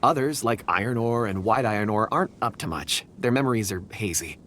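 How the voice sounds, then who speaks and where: Polite, earnest, expressive young man. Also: fairly low pitch, fairly quick, a little hiss or hum. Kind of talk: video game character acting.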